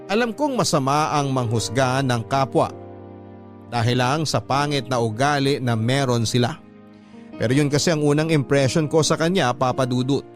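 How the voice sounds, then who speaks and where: A man with a Filipino accent narrating a letter sender's love story in a radio storytelling style, in the first person. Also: medium pitch.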